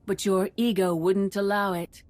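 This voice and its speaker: An actress playing a wise, defiant Jedi master in a video game, composed and measured. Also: female voice, mid-range voice, flat delivery, a little mellow, no room sound, lightly acted. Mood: resolute. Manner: measured, assured. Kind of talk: dramatic video game acting.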